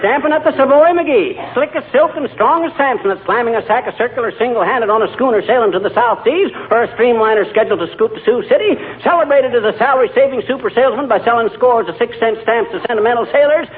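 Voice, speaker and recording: An actor playing a boastful, folksy, quick-tempered husband who spins tall tales in a 1940s radio comedy. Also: male voice, very high-pitched, a faint crowd. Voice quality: boastful, fast-talking, folksy. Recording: tinny old radio broadcast, faint audience.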